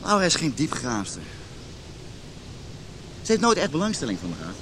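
A man's voice: fairly high pitch, huge pitch swings, moderate pace, a bit bright, a little hiss or hum, low-quality recording. An actor playing a disillusioned, self-pitying married man in his thirties in a naturalistic radio drama. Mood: rueful.

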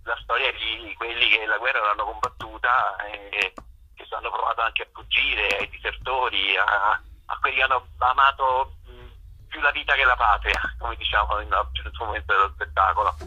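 Sharp, husky man on a dull phone. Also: fairly low pitch, steady tone, moderate pace. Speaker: theatre writer-performer being interviewed. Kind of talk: explanatory interview talk. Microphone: telephone call to radio. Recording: dull, band-limited phone line.

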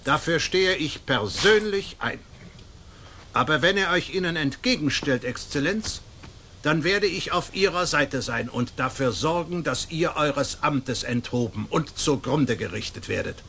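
A man's voice: on the high side, expressive, unhurried, creaky, pushed and bright, a little hiss or hum, exaggerated voice. An actor playing a stern, honourable and influential nobleman, emphatic and declamatory in a German radio drama. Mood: resolute.

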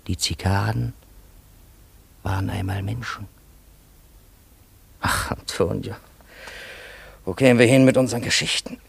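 A man's voice: fairly low pitch, a little slow, creaky, long pauses, slight background noise. An actor playing a musing, imperious man in a German radio play, dictating stories to his assistant in a theatrical, bossy, ruminative way. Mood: musing, commanding.

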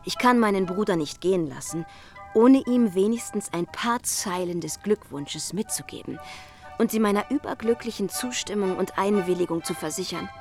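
A woman's voice: medium pitch, normal speed, soft background music, close to an everyday voice. An actress in a German radio play playing a confident, playful young society lady, reading letters aloud in a polished, arch way. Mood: gushing, arch.

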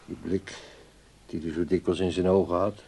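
A man's voice: low voice, fairly even intonation, moderate pace, warm tone, slightly rough. An actor playing a brooding, reproachful man in a radio drama, with a sarcastic, conscience-stricken way of talking. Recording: faintly noisy radio play recording.

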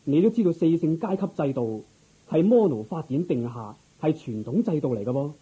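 A man playing a dignified, courteous and curious king in a radio drama, with stately, expressive theatrical delivery. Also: fairly high pitch, moderate pace, slightly rough, poor audio. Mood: astonished. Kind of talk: Cantonese Buddhist radio drama acting.

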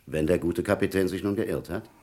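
An actor playing a demanding, sceptical police superior, gruff and authoritative, in a vintage radio crime drama. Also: male voice, deep voice, fairly quick, darkish tone.

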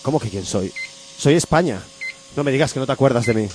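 A man's voice: medium pitch, lively intonation, fast talker, darkish tone, a little hiss or hum, lightly acted. A man playing an insistent, melodramatic woman lover in a comic radio soap, overwrought and pleading. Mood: puzzled.

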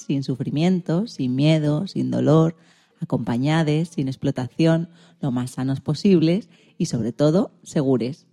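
A woman's voice: deep voice, dark tone. A woman hosting a radio program, introducing a section from a script.

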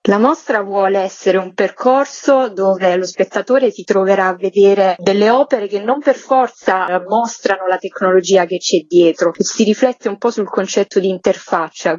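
A woman's voice: medium pitch, darkish tone, dry recording, highs cut off. A woman giving an informative radio interview as a curator, explaining things in formal, articulate phrases.

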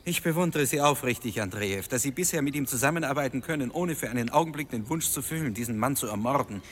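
A man's voice: medium pitch, expressive, fast, fairly bright tone, a little hiss or hum, natural voice. An actor playing an agitated young law student who resents his father, speaking emphatically in a German radio drama. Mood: bitter, indignant. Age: young adult.

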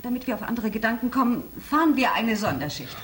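An actress playing a bossy team leader giving orders in a science fiction radio play. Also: female voice, a little high, very animated, fast, over a noisy crowd. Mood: commanding. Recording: distant, echoey, crowded background.

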